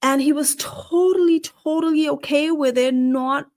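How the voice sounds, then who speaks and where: A woman with a Nepali accent speaks at a slightly raised pitch, earnest and questioning as she talks straight to her audience. She is middle-aged, grew up in Nepal, and hosts a career talk show on her own. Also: steady tone, a little slow, a little mellow. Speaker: career strategist and show host. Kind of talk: solo talk to camera.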